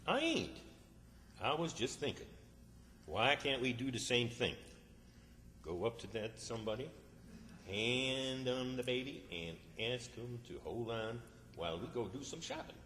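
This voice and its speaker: A man's voice: mid-range voice, big pitch swings, measured pace, pauses often, fairly bright tone, a little hiss or hum. A man playing a bossy, scheming country fellow with a rural Southern American accent, grumbling in an old-time radio comedy. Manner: grumbling, sarcastic, folksy. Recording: stage performance in reverberant hall.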